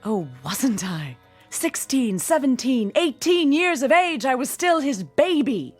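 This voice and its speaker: Embittered woman in her forties, husky, sardonic, self-pitying, theatrical radio drama, music underneath. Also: sits high, big pitch swings, a little slow, heavily acted. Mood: bitter, sarcastic. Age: 40s. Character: bitter, haunted, hard-drinking woman of forty-three. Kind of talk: old-time radio drama acting.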